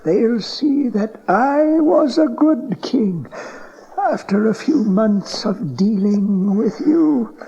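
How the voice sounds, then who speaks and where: Ancient failing monarch: very slow, gravelly, scornful and self-pitying, on muffled cassette drama tape. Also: male voice, sits high, expressive, mellow, full character voice. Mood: cutting, resentful. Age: older. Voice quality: creaky, weak, wasted voice. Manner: drawn-out, bitter, sardonic. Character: dying old king, bitter, scornful, self-pitying. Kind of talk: dramatized Bible audio drama. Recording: dull cassette tape transfer.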